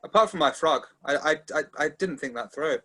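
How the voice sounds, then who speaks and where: Man, higher pitch, lively intonation, casual actor chat. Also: a little fast. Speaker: actor at a table read.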